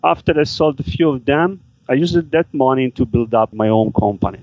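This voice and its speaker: A man answers questions in halting, careful English. The audio is dull and muffled, like a remote call, and very dry. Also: mid-range voice, lively intonation, dry recording. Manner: halting non-native English with fillers. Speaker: guest, business seller. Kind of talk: interview answers.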